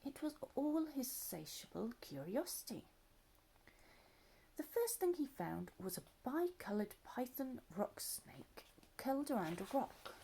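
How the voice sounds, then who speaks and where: Expressive woman reading a children's story. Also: high voice, a little slow, gravelly, slightly rough, low-quality recording. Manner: expressive, lively voices, drops to soft asides. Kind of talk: children's story read-aloud.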